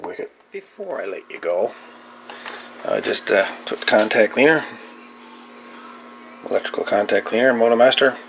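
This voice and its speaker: A man with a creaky, gravelly voice gives casual, halting hands-on repair commentary, muttering to himself. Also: medium pitch, animated, a bit bright, slight background noise. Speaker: host of a radio repair video.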